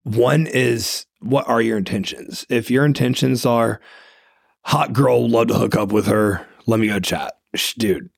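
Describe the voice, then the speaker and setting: A man with a deep voice talking emphatically as a podcast host in casual chat. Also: unhurried, vocal fry, no room sound, studio quality. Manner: emphatic, coaching tone.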